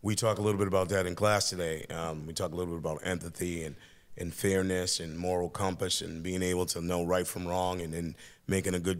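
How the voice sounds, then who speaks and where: An older man hosts a school podcast from a small studio, his voice sitting very low. He talks to his student guest in long, reflective, encouraging sentences. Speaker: teacher and podcast host. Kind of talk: interviewing a student. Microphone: boom-arm studio mic.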